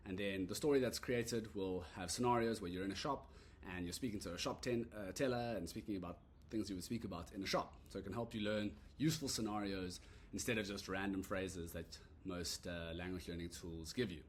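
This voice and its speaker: A man giving a relaxed, rambling walkthrough of an app he built, full of "uh" and "so yeah" fillers. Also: low-pitched, brisk, few pauses, slight background noise. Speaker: app creator demoing his product. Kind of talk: casual screen-recorded product demo. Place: at a computer recording a screen demo.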